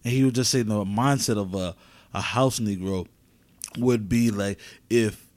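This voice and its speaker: A man's voice: lowish voice, unhurried, a little mellow. A man co-hosting a sports podcast, chatting casually with plenty of slang. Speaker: podcast co-host.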